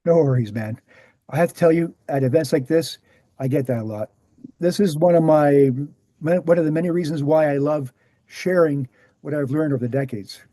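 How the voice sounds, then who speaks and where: A man playing an earnest researcher in a screenplay table read, explaining things in a measured, assured way. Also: a little high, mellow, no echo, natural voice. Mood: reassuring. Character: Gary, earnest male presenter, calm debunking researcher.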